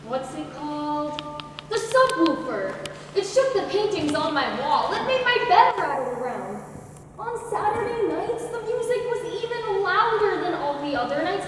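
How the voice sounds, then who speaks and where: A young woman playing an aggrieved neighbour in a stage monologue. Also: extremely high pitch. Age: teenager. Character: aggrieved neighbour recounting noisy nights. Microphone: distant camera. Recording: echoey hall from far off, clear noise.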